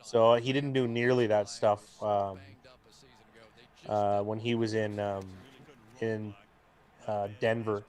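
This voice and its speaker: A young man with a booming voice, co-hosting a live football watch stream in rambling casual chat, mic clipped to his jacket. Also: level tone, takes it slowly, long pauses, darkish tone. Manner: rambling, talkative, full of restarts and fillers. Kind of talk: casual chat and game commentary. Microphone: jacket used as mic mount.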